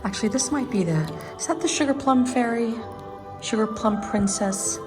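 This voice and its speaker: A woman's voice: fairly high pitch, normal speed. A woman hosting a chatty home decorating vlog, talking in an enthusiastic, gushing way. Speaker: vlog host. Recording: music playing behind the voice. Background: music.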